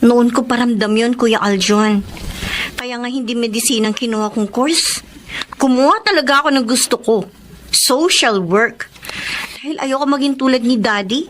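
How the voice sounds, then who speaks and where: A woman's voice: a little high, moderate pace, a little hiss or hum, close to an everyday voice. A woman playing an outspoken, idealistic young daughter with an emotional delivery in a Filipino radio drama. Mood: resentful, frank. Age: young adult.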